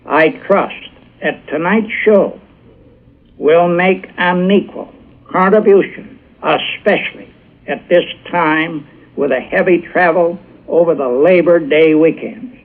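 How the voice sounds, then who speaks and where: A company president gives slow, stiff, formally read thanks. His voice sits a little high, and it comes through a tinny, narrow old radio signal. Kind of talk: formal prepared remarks.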